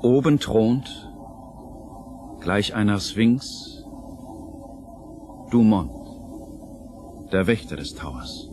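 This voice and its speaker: A man narrating an audio play in a scripted, dramatic style, measured and suspenseful. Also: fairly low pitch, very animated, noisy background. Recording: narration over clear music.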